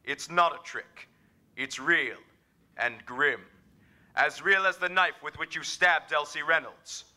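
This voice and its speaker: Grim invisible avenger, very sharp forceful tone, slow menacing delivery, mocking, commanding, old radio drama. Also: male voice, on the high side. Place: live stage performance at a convention.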